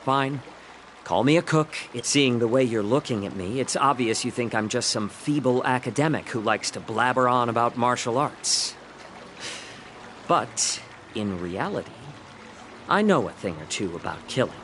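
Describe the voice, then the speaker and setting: A man playing a suave, genteel and slightly sly healer and cook in a video game, speaking politely and eloquently in measured, lecturing phrases. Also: medium pitch, animated, a bit bright, a little hiss or hum. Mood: wry, pointed. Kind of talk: scripted video game voice acting.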